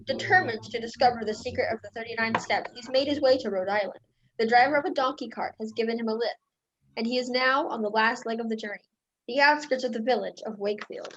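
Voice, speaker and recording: A woman's voice: a little high, fast, fairly bright tone, highs cut off. A woman reading scripted narration for a radio play.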